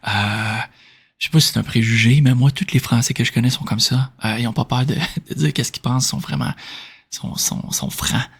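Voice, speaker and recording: A man from Quebec with a Québécois French accent, speaking in a soft, breathy whisper as he informally answers questions in an ASMR style. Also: medium pitch, normal speed. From Quebec, Canada. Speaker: ASMR video creator answering viewer questions.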